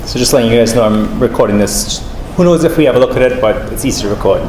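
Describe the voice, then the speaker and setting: Male academic speaker, Trinidadian accent, chatty and informal, mid pitch, roomy sound. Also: quick pace, darkish tone. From Trinidad and Tobago. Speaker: professor giving a guest talk. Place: room with live audience. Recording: roomy echo, faint background noise.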